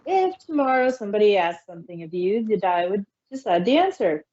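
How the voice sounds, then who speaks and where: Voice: medium pitch, very animated, fairly quick, darkish tone, muffled recording. A performer voicing a gleeful, coaxing chaos spirit in a theatrical fanfiction reading. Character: gleeful trickster chaos spirit offering gifts.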